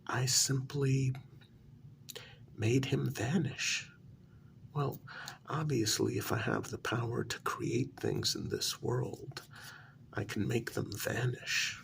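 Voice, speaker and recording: Guy doing smug delusional mental patient, asmr roleplay, slow soft half whisper, super gravelly fry. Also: medium pitch, animated, a little hiss or hum, heavily acted. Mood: calmly menacing. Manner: unhurried, condescending, halting. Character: deluded male asylum inmate, smugly convinced he created the world. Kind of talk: soft-spoken ASMR role-play monologue.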